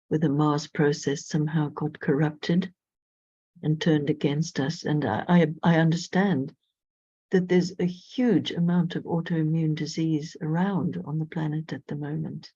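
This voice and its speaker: A woman speaking as an astrologer guest in an interview, thoughtful and measured. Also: low-pitched, steady tone, dark tone, breathy edge, dry recording. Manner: thoughtful, measured, with frequent "you know". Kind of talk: reflective conversational interview. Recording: dull lo-fi audio.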